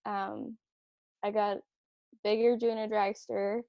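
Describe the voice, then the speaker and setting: A young woman from Norway, a drag racing driver, telling her story in a relaxed interview with fillers and restarts over a remote call. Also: mid-range voice, takes it slowly, creaky, dry recording. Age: 20s. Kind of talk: casual interview chat. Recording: dull remote-call audio.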